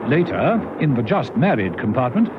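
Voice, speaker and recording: A man narrates a radio thriller in a wry, dramatic storytelling style. His medium-pitched voice moves expressively, on a dull recording with clear background noise. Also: very animated, normal speed. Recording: noisy, muffled old radio drama recording.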